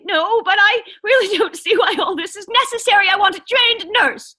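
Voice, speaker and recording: A woman's voice: very high voice, normal speed, sharp tone. A woman playing an anxious, demanding invalid wife in a radio thriller, agitated and stammering as fear takes hold. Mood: demanding, nervous.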